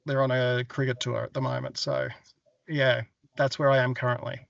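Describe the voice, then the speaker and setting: Gravelly man, distant on a call. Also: medium pitch, flat delivery, moderate pace, dry recording. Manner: easygoing, brief replies. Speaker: public submitter. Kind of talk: casual remarks at a formal hearing. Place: outdoors at a cricket ground. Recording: distant, muffled phone-like call.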